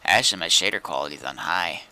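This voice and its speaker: A man's voice: low-pitched, quick pace, pushed and bright, clear, resonant tone. A man chatting casually on a gaming livestream, rambling and thinking aloud as he goes.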